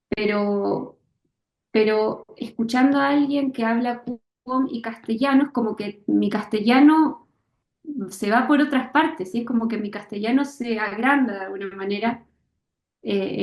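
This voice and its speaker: A woman author, from Misiones, talking through her creative process in a thoughtful interview, often pausing and restarting as she looks for words. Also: mid-range voice, a little mellow, echoey room. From Misiones, Argentina. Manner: hesitant, searching for words, many fillers and restarts.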